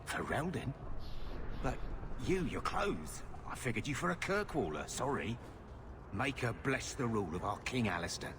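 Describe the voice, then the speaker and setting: An actor playing a rough, protective male refugee in a video game, talking in a colloquial way. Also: mid-range voice, very animated, moderate pace, lightly acted. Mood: apologetic. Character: rough, protective refugee tough, confrontational then apologetic. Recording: clear background noise.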